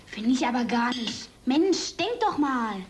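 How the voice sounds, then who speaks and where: A woman playing a lively, bossy child in a German drama. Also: sits high, unhurried, slight background noise. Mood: eager, insistent. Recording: music behind the voice.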